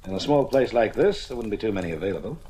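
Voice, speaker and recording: An actor playing a confident, breezy male amateur detective in an old radio mystery, with a husky, slangy, assured delivery. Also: lowish voice, lively intonation, quick pace, dark tone, slight background noise, close to an everyday voice. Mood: confident, teasing.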